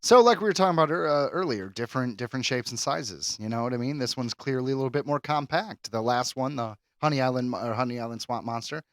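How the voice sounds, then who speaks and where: A man co-hosting a podcast, chatting casually and telling animated jokes. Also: medium pitch, fast, few pauses, no room sound, studio quality. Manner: joking, animated storytelling. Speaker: podcast co-host. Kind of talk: casual chat.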